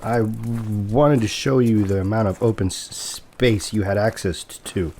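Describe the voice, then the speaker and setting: A man's voice: fairly low pitch, fairly even intonation, measured pace, mellow, a little hiss or hum. A man playing a formal, coolly courteous property broker who stutters, in an audio drama. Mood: businesslike.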